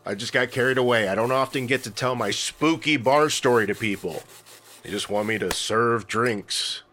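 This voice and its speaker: An actor playing a chatty, eager-to-please bartender in a comedy-horror radio play, friendly and a little intrusive. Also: male voice, mid-range voice, normal speed, bright, forceful tone, lightly acted. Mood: apologetic. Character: chatty, eager-to-please bartender who owns a spooky bar.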